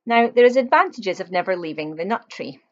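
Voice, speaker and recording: A woman's voice: mid-range voice, lively intonation, a little fast, muffled recording. A woman reading a children's picture book aloud, expressively, with chatty explanatory asides to young listeners.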